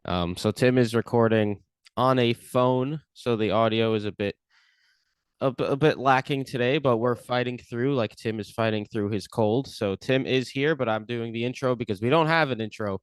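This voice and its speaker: A man hosting a podcast in relaxed, teasing chat. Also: medium pitch, normal speed, clean tone, dry recording. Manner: teasing, laid-back. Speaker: podcast host. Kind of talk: casual chat.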